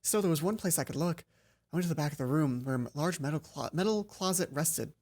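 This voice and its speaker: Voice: mid-range voice, expressive, quick pace, background noise. A let's player reading visual novel narration aloud, stumbling and rereading lines, with casual asides. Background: soft game music.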